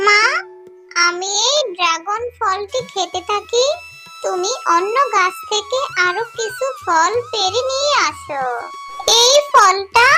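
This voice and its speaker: A very high-pitched, slow-talking little fox cub girl, eager and pleading like a small child. It is cartoon dialogue with music underneath.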